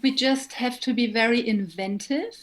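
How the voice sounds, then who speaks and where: A woman's voice: on the high side, moderate pace. A woman with a German accent, a native German speaker, answering as an interview guest in a reflective way, carefully searching for her words. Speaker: interview guest, singer and writer. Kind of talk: thoughtful interview conversation.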